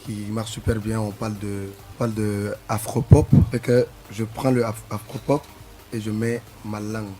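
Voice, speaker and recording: Low-voiced man, halting speech. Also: little pitch movement, mellow, faint music behind. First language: Sara. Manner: halting, repeats words while searching for them. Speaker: musician guest. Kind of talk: casual interview answers.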